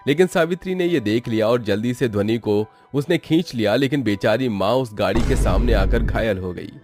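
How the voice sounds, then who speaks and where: A man's voice: mid-range voice, moderate pace, a little mellow. A man narrating a scripted story in a dramatic storytelling style. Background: background music.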